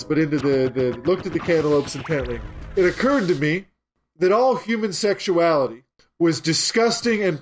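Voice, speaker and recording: High-voiced man telling a rambling deadpan story. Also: low-quality recording. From Pennsylvania, USA. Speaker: show co-host, gamer. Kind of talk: casual gaming podcast chat.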